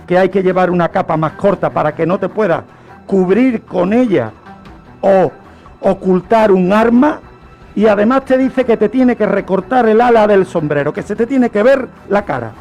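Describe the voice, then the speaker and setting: A man's voice: high-pitched, lively intonation, moderate pace, darkish tone, faint music behind. A man with an accent from Spain telling history on the radio in an unscripted, didactic way, posing questions and answering them. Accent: Spanish from Spain. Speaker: history segment speaker on a radio programme.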